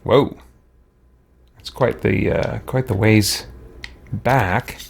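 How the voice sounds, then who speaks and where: A man's voice: lowish voice, drawn-out words, gravelly, husky. A man giving casual let's play commentary, thinking aloud and muttering to himself as he goes, often repeating words. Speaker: gamer hosting a let's play.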